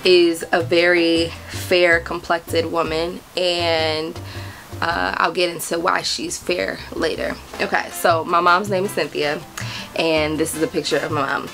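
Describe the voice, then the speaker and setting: Brief: young American woman vlogger, lower voice, bubbly chatter, faint music bed. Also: little pitch movement, measured pace, fairly bright tone, rough recording. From United States. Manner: bubbly, giggly, chatty with many fillers. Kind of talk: casual vlog chat to camera.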